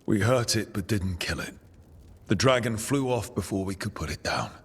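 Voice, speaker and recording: Blunt fantasy hero in long reverb. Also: male voice, fairly low pitch, animated, moderate pace, fairly bright tone. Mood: weary, resolute. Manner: terse, challenging, plain-spoken. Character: blunt, resolute male hero leading a fantasy band. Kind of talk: dramatic video game acting. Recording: long reverb, clear background noise.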